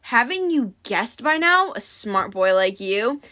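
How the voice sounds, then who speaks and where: A woman's voice: fairly high pitch, sing-song, unhurried, pushed and bright, heavily acted. A woman voicing a busy middle-aged male god, sly and easygoing, with a casual, wry manner in a storybook reading. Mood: breezy, curious.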